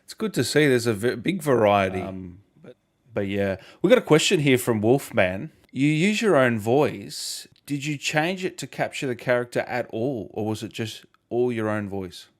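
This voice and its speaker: A man with a medium-pitched voice hosts an interview. He talks casually, chatting along with his guest and putting questions in an informal way. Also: lively intonation, normal speed. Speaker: interviewer and show host.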